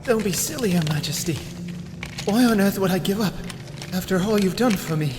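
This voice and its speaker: A man's voice: high voice, animated, brisk, background noise. Devoted young boy in echoing hall, acted by a man. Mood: adoring, eager. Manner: eager, adoring. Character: devoted, eager young boy under a spell. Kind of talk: audio play acting. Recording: long hall reverb over music.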